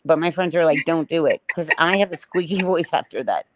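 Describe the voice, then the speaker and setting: A woman hosting a livestream panel, talking bluntly and matter-of-factly in a raspy voice. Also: sits low, animated, fairly quick. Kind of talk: casual panel chat.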